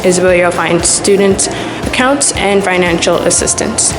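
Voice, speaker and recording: A woman's voice: on the low side, measured pace, rough recording. A woman in her twenties from Jamaica, filming a casual walking tour of her university campus with a camera held at arm's length, explaining each building as she passes it. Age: 20s. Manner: friendly, explanatory, pointing things out as she walks. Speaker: student tour guide. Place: outdoors on a breezy campus, then an indoor hallway. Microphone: handheld camera at arm's length. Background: loud background music.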